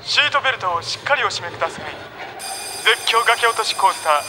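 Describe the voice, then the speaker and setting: A man's voice: sits high, animated, fast talker. A man playing a polite amusement park ride attendant, making formal announcements in an anime audio drama. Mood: polite, official. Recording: reverberant announcement, noisy ride ambience.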